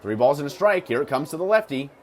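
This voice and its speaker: Male baseball radio announcer, higher-pitched, clipped descriptive play-by-play, clear ballpark noise behind him. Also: lively intonation, quick pace, a faint crowd. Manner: clipped, descriptive calls that swell on big plays. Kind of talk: live sports commentary. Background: ballpark ambience.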